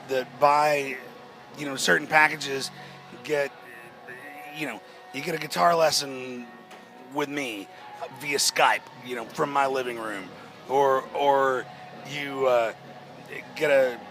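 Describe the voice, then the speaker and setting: A man with a naturally hoarse voice answers interview questions at a festival, taking his time. He talks in a halting way, restarting sentences often. Faint music plays in the background. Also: medium pitch, pushed and bright. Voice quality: hoarse-sounding. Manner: slow, halting, many restarts and fillers. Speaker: rock band member.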